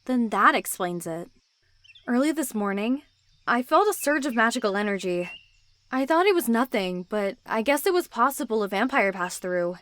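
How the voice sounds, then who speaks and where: Woman acting earnest, high-voiced secretive young woman. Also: lively intonation, moderate pace, a bit bright. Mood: serious, concerned. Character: secretive, protective young supernatural woman hiding the truth. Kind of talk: amateur roleplay voice acting.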